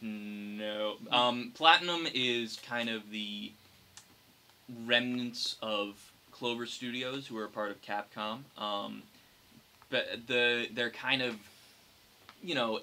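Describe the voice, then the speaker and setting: A young man chatting casually about games as a podcast co-host, explaining things in a halting, hesitant way. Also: medium pitch, sharp tone. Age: young adult. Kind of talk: casual gaming chat among friends. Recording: noisy hobby podcast recording.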